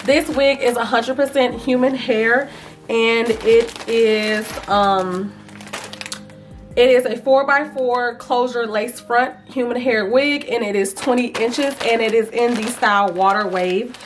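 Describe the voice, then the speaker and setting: Female beauty vlogger, highish voice with a froggy rasp, informal and playful chat, music underneath. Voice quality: slightly raspy, froggy. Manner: chatty, informal, playful asides. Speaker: vlogger reviewing wigs. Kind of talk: casual chat to viewers, beauty review.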